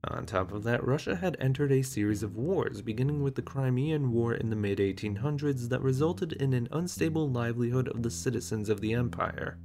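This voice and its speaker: A man narrating a scripted history explainer, mixing steady exposition with wry conversational asides. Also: medium pitch, a little fast, hardly any pauses.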